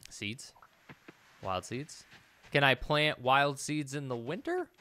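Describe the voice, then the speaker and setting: A man in his thirties with a bright, forward voice talks his way through a video game. He thinks aloud in a casual, musing way, as if muttering to himself. There is faint noise and clicking behind him, and the mic is close. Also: mid-range voice, very animated, slow pace. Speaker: gaming video host. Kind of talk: casual let's play commentary, thinking aloud.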